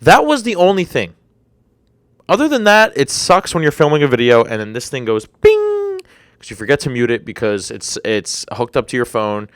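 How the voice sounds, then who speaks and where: A man's voice: mid-range voice, huge pitch swings, normal speed, no room sound, clean studio sound. A young man in his twenties hosting a solo podcast, rambling and incredulous, with a slightly congested throat. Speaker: podcast host. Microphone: desk microphone.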